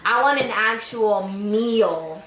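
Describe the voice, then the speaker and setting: A woman's voice: mid-range voice, takes it slowly, a bit bright, recorded from a distance, slight background noise. A woman co-hosting a podcast in casual chat, rambling with lots of fillers and trailing phrases. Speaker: podcast co-host. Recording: echoey room, off-mic.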